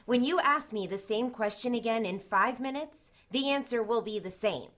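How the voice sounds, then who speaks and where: A woman playing a prickly, defiant witch in her twenties in an audio drama, curt, sarcastic and measured. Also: a little high, fairly bright tone, natural voice. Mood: angry, defiant. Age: 20s.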